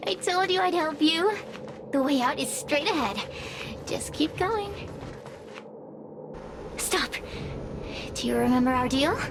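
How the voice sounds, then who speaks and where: A woman's voice: high voice, expressive, full character voice. A woman voicing a playfully sinister, coaxing schemer in a video game. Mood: sly, commanding. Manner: slow, coaxing, teasing. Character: sly, teasing female schemer, playfully sinister and controlling. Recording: clear background ambience noise.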